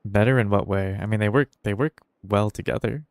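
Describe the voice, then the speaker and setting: Low-voiced, laid-back man chatting on stream. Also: brisk, a little mellow, no echo, clean studio sound. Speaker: game streamer. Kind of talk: casual livestream chat while gaming. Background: faint music.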